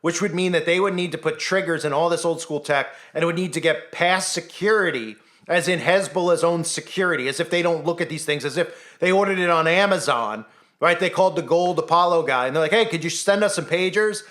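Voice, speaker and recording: Higher-voiced man, insistent commentator. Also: a little fast, fairly bright tone. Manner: insistent, rhetorical, often tags statements with "right?". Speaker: online commentator. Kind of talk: informal conspiracy commentary monologue.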